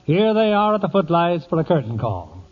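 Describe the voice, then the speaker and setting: A man's voice: fairly high pitch, sing-song, normal speed. A man hosting a 1940s radio show in a formal, polished style. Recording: muffled 1940s radio broadcast.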